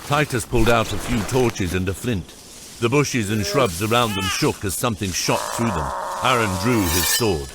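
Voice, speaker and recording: A man giving measured, scripted dramatic narration as the narrator of an epic fantasy audiobook. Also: fairly low pitch, expressive, noisy background. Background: prominent music.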